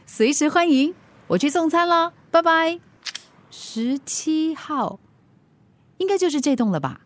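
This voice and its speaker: A woman's voice: high-pitched, lively intonation, unhurried, long pauses, slight background noise. A woman acting a cheerful, helpful food delivery rider in a radio drama, chatty, with a Singaporean accent and English words mixed in. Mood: upbeat.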